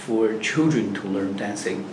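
A man runs a speaking-test interview, asking short questions at a mid pitch. The room is echoey and a clear background noise runs under his voice. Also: measured pace. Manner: brief prompts, frequent backchannel mm-hmm. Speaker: examiner.

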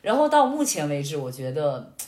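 A young woman with a fairly low voice that moves with lots of expression. She talks in a reflective, conversational way, setting out her points in order. The room around her adds a clear echo. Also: sing-song, normal speed, mellow. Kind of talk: reflective casual chat. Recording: echoey, roomy sound.

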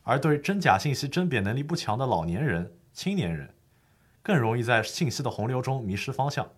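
A man's voice: medium pitch, sing-song, brisk, slightly rough. A man playing a patient lawyer who explains the law formally and methodically in a scripted educational audio drama. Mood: approving, earnest.

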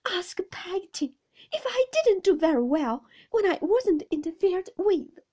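A woman's voice: very high-pitched, very animated, measured pace, a little mellow, voice pushed up, heavily acted. A woman voicing a timid, girlish young wife in an audiobook, faltering and plaintive as she pleads. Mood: tearful, protesting. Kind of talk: audiobook character acting of a classic novel.

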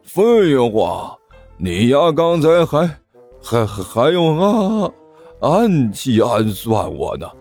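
A man's voice: mid-range voice, big pitch swings, soft background music, pitched above the performer's own voice, heavily acted. A man voicing a self-styled great demon king in a comic story, blustering and indignant, stammering and dragging out his words. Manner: heavy stammer, drawn-out shouted words.

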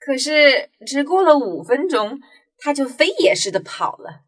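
A woman narrating a children's story in an expressive storytelling manner. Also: high-pitched, unhurried. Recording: music behind the voice.

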